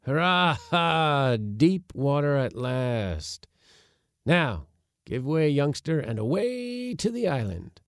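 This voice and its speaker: A man's voice: medium pitch, a little slow, creaky, few pauses, pushed and bright, dry recording, clean studio sound, pitched above the performer's own voice, heavily acted. A man voicing an impatient, bossy elderly uncle in a storybook reading, with a blustering, pompous, exclamatory manner. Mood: excited commands.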